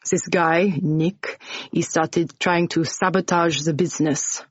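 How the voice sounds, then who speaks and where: A woman voicing a genial wealthy bar owner in his sixties with a soft French accent. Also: lowish voice, steady tone, slow pace, husky, dry recording, highs cut off. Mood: dismissive. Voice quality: soft-spoken.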